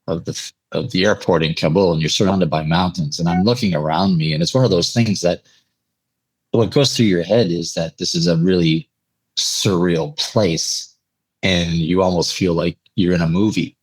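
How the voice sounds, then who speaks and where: A man from Eastern Canada speaking as an interview guest, telling anecdotes in a conversational, measured and reflective way. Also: deep voice, breathy edge, no room sound, low-quality recording. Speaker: interview guest, air traffic controller telling stories.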